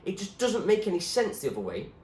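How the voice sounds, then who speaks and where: A man's voice: high voice, huge pitch swings, fast talker, darkish tone, pitched above the performer's own voice. A man voicing an anxious, stubborn young girl who pleads urgently as she searches for her parents, in a storybook reading. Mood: bitter. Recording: echoey room with clear background noise.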